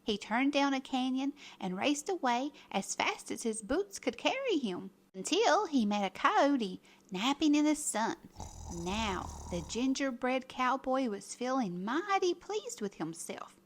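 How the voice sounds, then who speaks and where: A woman reading a children's story aloud as a storyteller, with expressive, dramatic emphasis. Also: high-pitched, a little slow.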